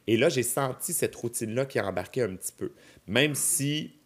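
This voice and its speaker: A man's voice: mid-range voice, expressive, moderate pace, fairly bright tone, husky. A man in his thirties with a Québécois French accent, chatting casually as a podcast guest and explaining his ideas with examples and asides. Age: 30s. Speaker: podcast guest, drag performer. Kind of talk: casual chat.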